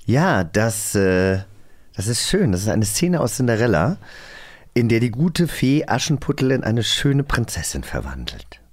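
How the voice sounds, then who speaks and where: Give me a chatty man hosting a casual podcast, mid-pitched and enthusiastic. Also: big pitch swings, measured pace. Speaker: podcast host. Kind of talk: casual chat.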